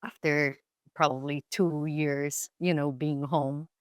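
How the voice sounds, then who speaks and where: Kobieta o niskim głosie z kolumbijskim akcentem. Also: female voice, low voice, steady tone, takes it slowly, dry recording, studio quality. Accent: Colombian Spanish. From Colombia. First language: Spanish. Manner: reflective, many fillers and restarts. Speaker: guest actress. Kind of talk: casual interview chat.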